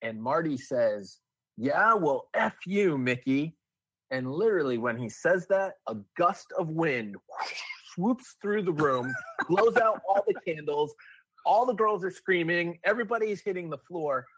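A man's voice: on the high side, animated, unhurried, a bit bright, husky, no echo, rough recording. A man co-hosting a podcast, telling a personal anecdote in a casual, conversational way, step by step. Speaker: podcast co-host.

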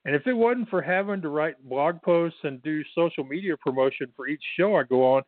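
A man's voice: fairly high pitch, brisk, no echo. Chatty Texan man on a phone line. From Texas. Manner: chatty, frequent restarts and fillers. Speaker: guest author. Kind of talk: casual interview. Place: bedroom at home.

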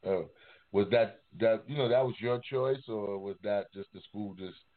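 A man hosting a casual interview, speaking haltingly and repeating words as he goes. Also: lowish voice. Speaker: interviewer, host. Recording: thin phone-like call audio.

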